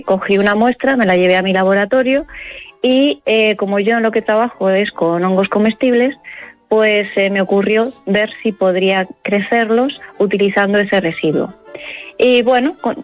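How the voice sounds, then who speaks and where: A woman from Murcia with an accent from Spain, explaining her work as an academic interview guest in a halting, hesitant way with frequent pauses. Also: mid-range voice. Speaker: interview guest, university professor and scientist. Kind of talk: spontaneous explanatory interview answers. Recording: dull lo-fi radio sound.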